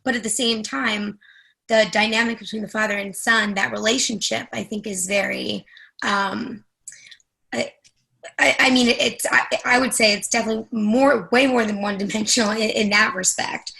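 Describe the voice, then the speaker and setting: Woman on a group call, vocal fry, crisp bright tone, kinda searching for words. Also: fairly high pitch, fairly even intonation, normal speed. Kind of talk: casual feedback in group discussion. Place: video call.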